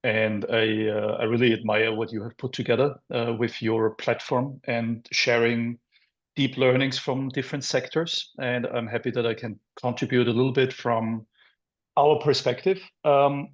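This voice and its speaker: A middle-aged man from Austria, a guest in a business interview, answering in a measured way with many pauses. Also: mid-range voice, fairly even intonation, highs cut off. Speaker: guest, business development director.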